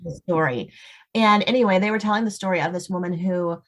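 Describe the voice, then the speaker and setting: Low-pitched woman in casual interview. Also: a little fast. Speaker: guest novelist.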